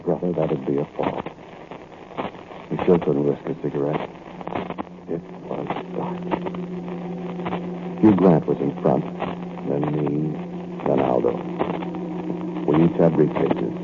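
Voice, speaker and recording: An actor playing a nervous thief who narrates a night break-in in first person, in a 1940s radio drama. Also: male voice, extremely low pitch, steady tone, takes it slowly, long pauses, exaggerated voice. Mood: uneasy. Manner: tense, confiding first-person storytelling. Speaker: narrator and lead character. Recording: tinny, noisy old radio recording.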